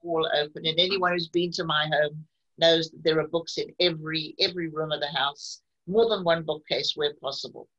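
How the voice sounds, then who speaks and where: Host: woman in her sixties, bright and husky, chatty, on dull lo-fi video-call audio. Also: low voice, fairly even intonation, moderate pace. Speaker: interviewer host. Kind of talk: online interview.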